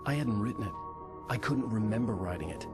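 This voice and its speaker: A brooding, troubled writer, music underneath. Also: male voice, lowish voice, expressive. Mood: brooding, uneasy. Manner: brooding, measured narration, frantic when calling out. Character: troubled male writer, brooding, desperate for his wife. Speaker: protagonist and narrator. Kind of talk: video game dramatic acting and scripted noir narration. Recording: loud game music bed. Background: dramatic game music.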